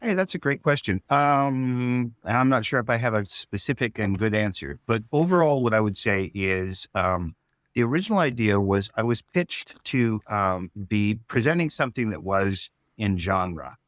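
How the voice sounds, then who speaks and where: A man with a fairly low voice talks as an interview guest. He explains in a thoughtful, conversational way, feeling for each word. The recording is dry, with little room sound. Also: lively intonation, normal speed, fairly bright tone, no echo. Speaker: series writer, interview guest.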